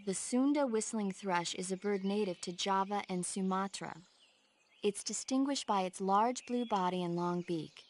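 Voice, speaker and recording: Lady doing a game support advisor gal, earnest explainer, easily exasperated, mid pitch, bit of bg noise. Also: normal speed, lightly acted. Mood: informative, cautioning. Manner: informative, crisp explanations. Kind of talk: video game voice acting, explanatory briefing.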